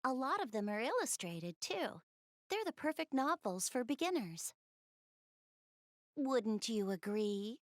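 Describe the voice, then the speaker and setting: A woman's voice: on the high side, big pitch swings, measured pace, long pauses, no echo, clean studio sound. A woman voicing a shy, bookish young goddess girl in a video game dub, speaking politely and earnestly with bashful hesitation. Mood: enthusiastic, earnest. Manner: hesitant, bashful, polite, rambling about hobbies. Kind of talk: English video game dub, visual novel dialogue.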